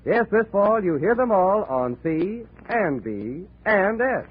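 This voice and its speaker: Lively, swooping male old-time radio announcer. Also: sits high, takes it slowly, husky. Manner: polished, promotional. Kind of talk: 1940s network radio announcing, scripted promotion. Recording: noisy, tinny 1940s radio recording.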